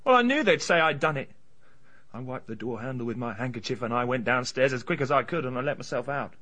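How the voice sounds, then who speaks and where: Surly, defensive British tough. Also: male voice, medium pitch, expressive, fast, bright, forceful tone. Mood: desperate pleading. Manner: blunt, colloquial, defensive. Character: surly, frightened British male bully, defensive and hot-tempered. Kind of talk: radio drama acting.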